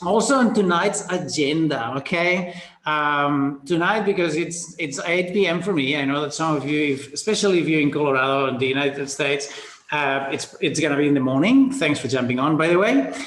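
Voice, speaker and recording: A man's voice: a little high, normal speed, a bit bright, slight background noise. A man from Spain with a Spanish accent hosts a live webinar, chatting upbeat, greeting attendees by name and stumbling through restarts and fillers. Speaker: webinar host. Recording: roomy webinar audio.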